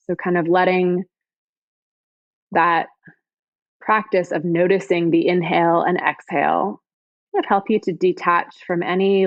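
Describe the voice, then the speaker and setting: A woman yoga teacher leads a guided body scan meditation in a soothing, gentle way, with unhurried phrases and pauses. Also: on the low side, no room sound, lo-fi sound.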